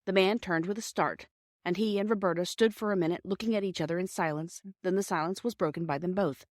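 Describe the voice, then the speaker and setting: A woman's voice: lowish voice, quick pace, no echo, studio quality. A woman narrating a children's story from a script as an audiobook reader.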